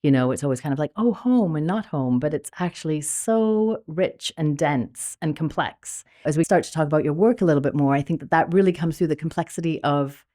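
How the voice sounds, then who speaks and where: A woman's voice: low-pitched, fairly quick, hardly any pauses, dark tone. A woman hosting an interview, asking curious, musing questions that she rephrases as she goes. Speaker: podcast host.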